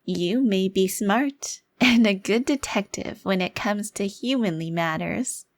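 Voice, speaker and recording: A woman's voice: mid-range voice, no echo, close to an everyday voice. A woman playing a smug, taunting, youthful-looking vampire crime lord in an ASMR roleplay, with a theatrical, condescending delivery. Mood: smug, mocking. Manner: theatrical, condescending, formal wording with drawn-out emphasis. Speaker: audio roleplay performer.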